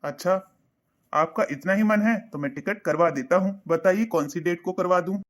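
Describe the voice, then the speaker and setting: A man's voice: high voice, moderate pace, close to an everyday voice. A young man voicing a devoted son in a cartoon, eager and reassuring. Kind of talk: cartoon voice acting.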